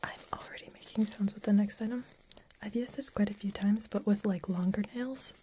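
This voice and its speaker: A young woman whispering softly as she hosts an ASMR livestream, chatting with viewers while making trigger sounds. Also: medium pitch, fairly even intonation, fairly quick, slight background noise.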